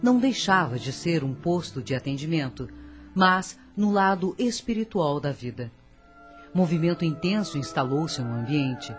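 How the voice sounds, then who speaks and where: A woman's voice: low voice, animated, normal speed. Solemn woman narrating over music. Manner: solemn, formal storytelling. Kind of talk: scripted radio drama narration.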